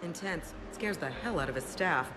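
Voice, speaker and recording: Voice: medium pitch, expressive, moderate pace, background noise, lightly acted. An actor playing a slick, chatty lawyer in a video game, breezy and candid. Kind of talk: video game cutscene dialogue. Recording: clear music behind voice.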